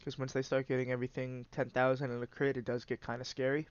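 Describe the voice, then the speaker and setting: A man's voice: medium pitch, level tone, fast talker, smooth, no room sound, dull audio. A man with a congested, stuffy nose hosting and giving casual, rambling play-by-play commentary on a card game. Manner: rambling, many "uh" fillers, restarts. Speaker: host and commentator.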